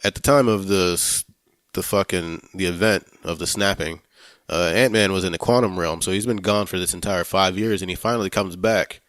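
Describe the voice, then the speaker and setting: A man hosting a casual film podcast, talking in a halting way, searching for words and swearing freely. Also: deep voice, fairly even intonation, fairly bright tone, dry recording. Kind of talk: casual movie review chat.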